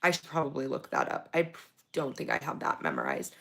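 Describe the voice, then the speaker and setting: A woman hosting a casual livestream from her phone, reading viewer comments, her voice going raspy from talking so long. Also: rumbling low, fairly quick. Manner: chatty, excited when thanking viewers for gifts. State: losing her voice, getting raspy. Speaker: livestream host.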